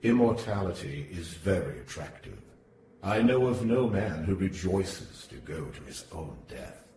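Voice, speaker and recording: Man acting very deep, solemn count. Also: lively intonation, unhurried, dark tone. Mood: solemn calm. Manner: solemn, formal, reassuring. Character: grave, measured male count, a solemn intercessor. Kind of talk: gothic audio drama acting. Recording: roomy, distant, noisy amateur recording.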